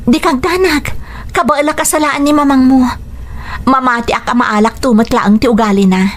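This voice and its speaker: A woman playing a patient, dutiful wife and stepmother in a Philippine radio drama, speaking in an earnest, gentle way. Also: sits high, moderate pace, lightly acted. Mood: troubled, earnest.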